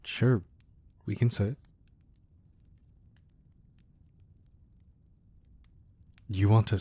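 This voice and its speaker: A low, mellow-voiced crime boss acted by a man, husky and intimate. He is a protective, devoted husband murmuring softly to his wife in a romantic ASMR roleplay. The tone is gruff at the edges but affectionate. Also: no room sound. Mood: teasing, indulgent.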